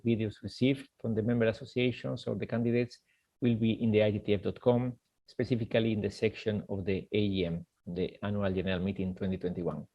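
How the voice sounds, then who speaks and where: A man chairing a formal online meeting, speaking in a measured way with frequent "uh" pauses. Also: medium pitch, muffled recording. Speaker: meeting chair, secretary general.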